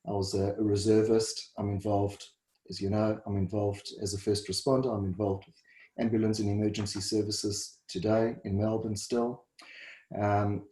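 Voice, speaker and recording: A middle-aged South African man, a dietitian and first responder, answers interview questions in a halting way and often repeats words. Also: fairly low pitch, warm tone. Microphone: video call. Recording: roomy echo.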